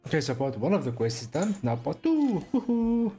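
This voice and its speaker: A man's voice: a little high, big pitch swings, moderate pace, mellow, slightly rough, faint music behind. A man, an Afrikaans speaker, chatting casually as he streams a game. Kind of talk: casual livestream chat.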